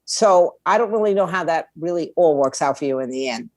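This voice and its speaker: A woman's voice: sits low, expressive, a little fast, a little mellow, no room sound, clean studio sound. A middle-aged woman giving conversational advice as an interview guest, blunt and streetwise, making her points with rhetorical questions. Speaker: podcast guest, music attorney and indie label owner.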